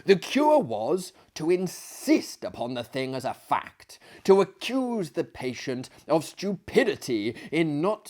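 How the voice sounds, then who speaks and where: A man voicing a genial, pompous older asylum director in a theatrical story reading, lecturing with emphasis. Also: mid-range voice. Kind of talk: theatrical storytelling of a classic tale.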